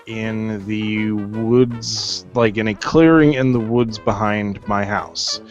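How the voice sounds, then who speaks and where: A man's voice: on the low side, steady tone, slow, dull audio, faint music behind. A man hosting a casual movie chat, telling a long story in a rambling way and leaning heavily on "like.". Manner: rambling storyteller, many "like" fillers. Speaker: host.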